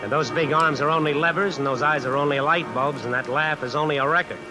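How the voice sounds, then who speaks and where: A man's voice: a little high, lightly acted. A man playing a cornered tough guy who narrates his predicament in a colloquial, brooding way in an old radio suspense play. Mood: shaken, brooding. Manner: colloquial, deliberate, brooding inner monologue. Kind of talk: 1940s-50s radio suspense acting, first-person narration. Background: music and rain sounds.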